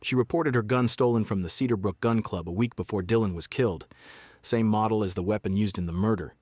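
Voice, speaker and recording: An actor playing a guarded small-town sheriff in a noir audio drama, laconic, deadpan and measured. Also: male voice, lowish voice, no echo, lightly acted. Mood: terse, uneasy.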